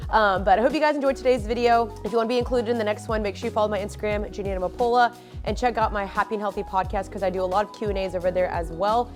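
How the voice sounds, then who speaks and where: A young woman talks to her viewers from her living room with a stuffy, sniffly nose. Her voice sits at a middle pitch for a woman, and she rambles along in an open, friendly way with plenty of fillers. Faint music plays softly behind her. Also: steady tone, very fast. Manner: chatty, open, lots of fillers. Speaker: faith and lifestyle vlogger. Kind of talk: casual chat to camera.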